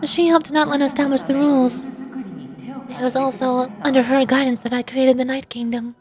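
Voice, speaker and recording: A woman's voice: high-pitched, fairly even intonation, normal speed, a little mellow, natural voice. A woman voicing a solemn, all-knowing ancient ruler from a fantasy game, reading formal explanatory dialogue aloud.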